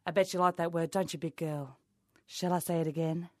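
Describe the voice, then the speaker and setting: A woman's voice: fairly low pitch, steady tone, a little fast, dry recording, clean studio sound. A woman doing a brash, insulting mock-motivational comedy monologue as a life coach. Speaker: comic playing a life coach.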